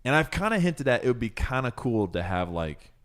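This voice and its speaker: A man's voice: medium pitch, very animated, brisk, a bit bright. A man co-hosting a podcast in casual, rambling, jokey chat, with fillers and playful bits. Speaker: podcast co-host.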